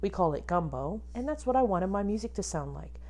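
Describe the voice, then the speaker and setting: A woman teacher reading a picture book aloud to children expressively, pausing to ask them questions and point things out. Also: medium pitch. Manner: animated, addresses young children with questions.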